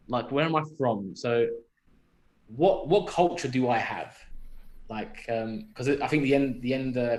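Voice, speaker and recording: An echoey room surrounds this young man with a London accent. He talks at a middle pitch in a casual podcast style, thinking aloud and often putting questions to himself. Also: darkish tone. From London, England. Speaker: podcast speaker. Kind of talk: casual reflective podcast chat. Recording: roomy echo on the voice.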